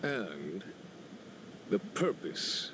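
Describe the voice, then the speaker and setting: A man voicing a shrewd, quietly sardonic general in a video game, dry, formal and measured. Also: deep voice, big pitch swings, background noise, full character voice. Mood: wry, knowing.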